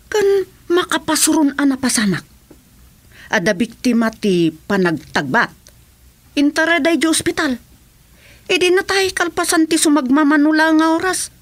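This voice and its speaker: Casting: actress as indignant, gossipy barrio councillor, high-pitched and highly expressive, radio serial comedy. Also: female voice. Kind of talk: radio comedy-drama dialogue in Ilocano.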